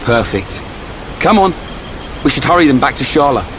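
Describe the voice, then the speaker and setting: A man's voice: medium pitch, big pitch swings, normal speed, noisy background, natural voice. A man with a British accent voicing a brash, teasing young sidekick and loyal friend in a video game.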